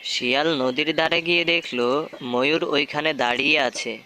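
A man narrating a children's animated folk tale in a scripted storytelling style. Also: a little high, normal speed, slight background noise.

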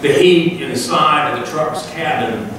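A man in his sixties delivers a sermon, telling stories in a folksy way with flashes of dry humor. His voice rings through a big, echoey sanctuary and comes from some distance from the mic. A steady room hiss sits underneath. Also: fairly high pitch, measured pace. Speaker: pastor. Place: large church sanctuary. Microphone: far camera, room pickup.

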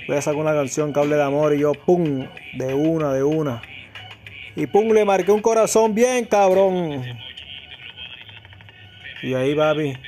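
A man, narrating a haircut in his small barbershop in an excited, slangy way, repeating words for hype. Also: a little high. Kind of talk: casual haircut vlog narration.

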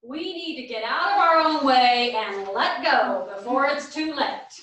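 A woman's voice: high-pitched, lively intonation, a little slow, fairly bright tone. A woman playing a dutiful, bitter perfectionist in a staged play reading, recorded at a distance in a theatre. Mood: reflective. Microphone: far camera. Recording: echoey theatre, far from the microphone.

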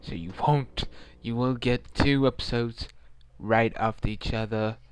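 Slow-talking young man gaming. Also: mid-range voice, steady tone, clear, resonant tone. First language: Swedish. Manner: muttering, reacting to a horror game. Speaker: gamer. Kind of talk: let's play commentary. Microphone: headset mic.

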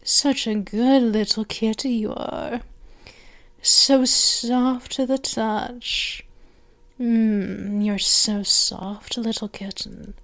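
A woman's voice: on the high side, fairly even intonation. A woman performing an intimate ASMR roleplay as a doting, teasing, possessive vampire mistress, cooing and sometimes slipping into whispers. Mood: tender. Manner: slow, cooing, with whispered phrases and drawn-out words.

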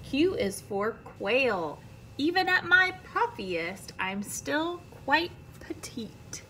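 A woman's voice: high-pitched, big pitch swings, slow pace, bright, forceful tone, slight background noise. An American woman, around her thirties, reads a children's picture book aloud like a teacher, expressively and carefully, with friendly asides to young listeners. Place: indoor classroom.

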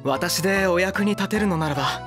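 A man's voice: high voice, normal speed, over music, natural voice. A man playing an earnest, formal young retainer in a cartoon, polite and properly dutiful.

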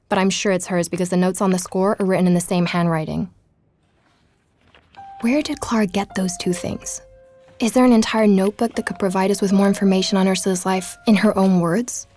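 A woman's voice: on the low side, darkish tone, natural voice. An actress playing an earnest young woman journalist. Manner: earnest, intrigued, speaking to listeners. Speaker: podcast narrator. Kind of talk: scripted audio drama narration. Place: home bedroom.

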